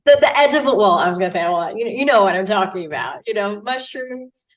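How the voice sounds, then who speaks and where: A woman's voice: mid-range voice, animated, a little fast, low-quality recording. A woman from Australia, a guest dietitian in casual interview chat, bubbly and chatty with frequent laughs.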